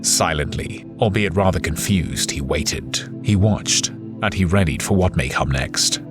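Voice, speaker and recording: A man with a low voice narrates a dramatic adventure story over loud music. His delivery is scripted, measured and full of suspense.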